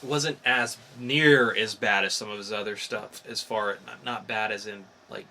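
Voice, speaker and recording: A man's voice: on the low side, fairly even intonation, a little slow, sharp tone, slight background noise. A man co-hosting a podcast, giving an off-the-cuff review in a hesitant, hedging way, rephrasing as he goes. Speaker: podcast co-host reviewing comics. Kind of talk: casual, unscripted review chat.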